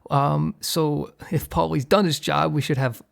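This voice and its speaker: A man playing a gruff, short-tempered mob boss in an ASMR roleplay, with a gravelly, husky voice. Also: on the high side, fairly even intonation, measured pace, very noisy. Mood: commanding, businesslike. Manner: commanding street-tough slang with crew, flustered hushed stammer with partner. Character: gruff, short-tempered male mob boss hiding his work from partner.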